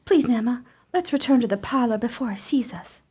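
A woman's voice: on the high side, animated, fairly quick, darkish tone, breathy edge. A woman playing a timid, easily frightened, grieving sister in a radio chiller, with a fearful, pleading, emotional delivery. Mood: fearful, horrified. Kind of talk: theatrical radio play acting.

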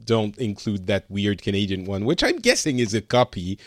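A man's voice: fairly low pitch, sing-song, normal speed, no echo, clean studio sound. A man from France hosting a podcast in casual chat, rambling with many fillers and restarts. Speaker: podcast host.

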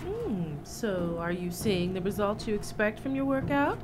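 A woman's voice: mid-range voice, very animated, unhurried, background noise. A middle-aged woman playing a chirpy, motherly suburban mom. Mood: perky. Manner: perky, motherly. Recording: music in background.

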